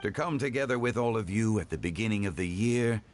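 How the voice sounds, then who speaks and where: An actor playing a dignified, erudite gentleman in an anime game, with formal, measured phrasing. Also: on the low side, animated, slight background noise, lightly acted. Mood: composed, gracious.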